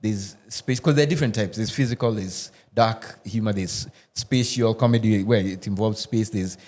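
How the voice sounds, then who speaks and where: A man, a podcast guest who tells animated stories in casual roundtable chat, often restarting and laughing. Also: lowish voice, normal speed. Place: podcast studio.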